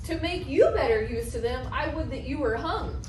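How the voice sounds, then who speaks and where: In an echoey space, off the mic, a woman plays a skeptical young son. The voice is very high and insolent, as in an amateur stage reading of ancient comedy. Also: moderate pace, darkish tone, natural voice. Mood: scornful disbelief. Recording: echoey room, distant mic, faint noise.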